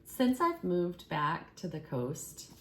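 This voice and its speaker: A woman's voice: lowish voice, sing-song, slow pace, a little hiss or hum. A young woman with a Maine accent who drops her R's, chatting casually as a co-host. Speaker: podcast co-host. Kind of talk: casual chat.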